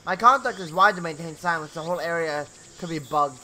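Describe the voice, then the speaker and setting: A man's voice: a little high, a little fast, sharp tone, slight background noise. A young man hosting a gaming video, reading story narration theatrically with casual asides and the odd stumble over words. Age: young adult. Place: small home bedroom. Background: game music.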